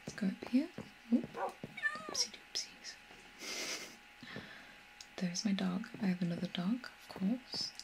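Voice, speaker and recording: A young woman giving whispered ASMR commentary over a video game, breathy, soft, gentle and a little hesitant. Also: medium pitch, noisy background. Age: young adult. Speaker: video game streamer and tour guide.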